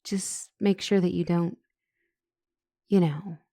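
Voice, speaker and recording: A woman playing a flustered, smitten doctor and couples counselor in an ASMR roleplay drama, hesitant and breathy. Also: lowish voice, steady tone, slow pace, dark tone, no room sound, studio quality. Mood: dazed, pleased.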